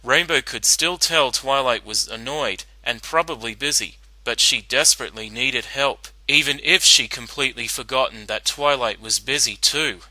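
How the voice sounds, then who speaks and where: Very bright, dry-sounding male story narrator. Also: medium pitch, moderate pace, hardly any pauses, no echo, poor audio. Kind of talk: dramatic reading of fan fiction.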